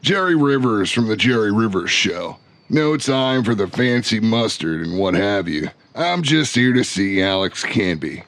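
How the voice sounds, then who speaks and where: An actor playing a brash male talk show host, narrating his own investigation in an audio drama with a folksy, swaggering manner and dramatic pauses. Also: on the low side, sing-song, a bit bright, heavily acted. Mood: cocky, wry. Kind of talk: scripted first-person narration in an audio drama.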